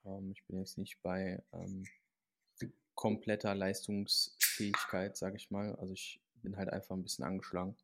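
A man's voice: low voice, level tone, normal speed, fairly bright tone. A man, a guest athlete on a podcast, talking casually and rambling with frequent fillers.

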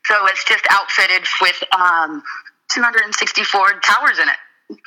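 A woman, the guest, explaining her urban farm in a casual interview, steady with frequent ums. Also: medium pitch, measured pace, poor audio.

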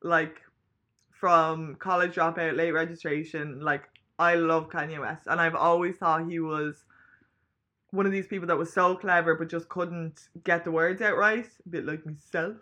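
A woman's voice: low voice, fairly even intonation, moderate pace, bright, forceful tone, muffled recording. A young woman in casual, swearing chat, laughing often. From Ireland.

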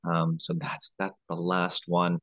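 A man, a health coach answering as a podcast guest in a halting, conversational way, often repeating words. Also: deep voice, completely flat delivery, fairly bright tone, no room sound.

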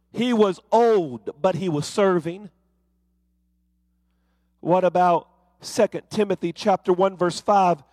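A male preacher with a high, bright-edged voice, working through his sermon with rising, rhythmic refrains. The sound is dry and close, with no room around it. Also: dry recording.

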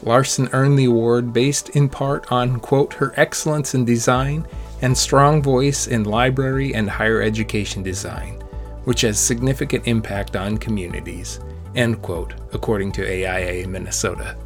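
A man reading a scripted introduction in an even narrating manner, marking quoted passages as he goes. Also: mid-range voice, moderate pace, soft background music.

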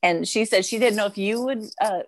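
Excited woman podcast host, gushing and chatty, says sorry a lot. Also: medium pitch, fairly quick, a little hiss or hum. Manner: bubbly, enthusiastic, apologetic rambling. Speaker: podcast host, former dancer. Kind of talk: casual remote interview.

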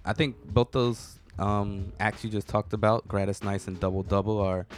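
A man in casual conversation, speaking in a measured, easygoing way. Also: lowish voice, fairly even intonation, faint music behind. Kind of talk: casual chat.

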